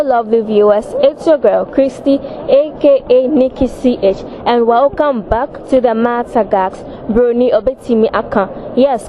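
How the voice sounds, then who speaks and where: A woman hosting a comedy street quiz, greeting viewers in brisk, upbeat presenter patter. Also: fairly high pitch, poor audio. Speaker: comedy video host.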